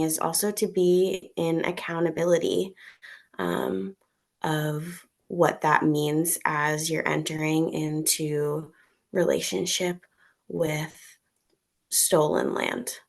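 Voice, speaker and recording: A woman speaking as a panelist in a slow, halting, thoughtful way. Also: low voice. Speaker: panelist, wilderness guide. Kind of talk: panel discussion.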